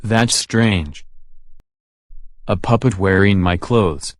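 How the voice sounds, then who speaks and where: Man as a dry, low-voiced sarcastic puppet. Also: slow pace, dry recording. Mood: deadpan, exasperated. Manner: deadpan, sarcastic. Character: dry, sarcastic, long-suffering male puppet. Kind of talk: comic puppet show acting.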